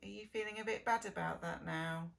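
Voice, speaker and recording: A woman teacher telling a story to preschool children in a sing-song, exaggerated way, gently asking them questions. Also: medium pitch, fairly quick, creaky. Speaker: preschool early years teacher reading a story.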